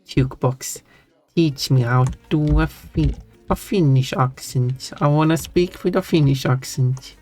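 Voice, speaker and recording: Streamer, relaxed unhurried delivery, self-correcting chatter, faint music bed. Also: mid-range voice. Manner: rambling, self-correcting, giggly. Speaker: live streamer. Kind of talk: casual live-stream chat while drawing. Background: faint background music.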